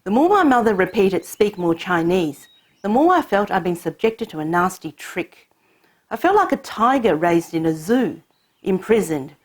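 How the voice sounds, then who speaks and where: Husky woman narrating her family story. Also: fairly low pitch. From Hong Kong. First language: Cantonese. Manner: measured, reflective storytelling. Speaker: narrator of her own short film. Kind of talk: scripted personal narration.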